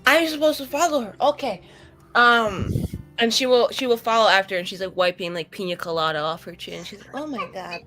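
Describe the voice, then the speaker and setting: A woman's voice: mid-range voice, fairly quick, fairly bright tone. A woman playing a tabletop roleplaying game, casually improvising what her character says and does. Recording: music behind the voice. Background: background music.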